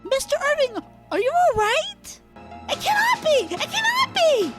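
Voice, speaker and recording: A young medieval peasant woman played by an actress, earnest and anxious. Her very high, swooping voice takes its formal words slowly, over clear music in an audio drama. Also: wildly swooping pitch, heavily acted. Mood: alarmed. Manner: stilted, formal, without contractions.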